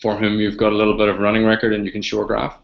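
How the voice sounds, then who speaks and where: An Irish man chimes in as co-presenter, chatty and conversational. His voice sits low and sounds gravelly. The audio is dull and lo-fi. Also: completely flat delivery, racing.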